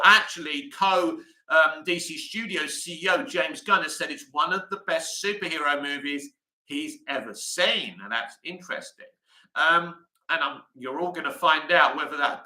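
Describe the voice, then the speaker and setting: Male streamer host, tense bright tone, slightly raised pitch, reads and answers chat, roomy echo. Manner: chatty, reads comments aloud and answers them. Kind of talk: live-stream chat answering viewer comments.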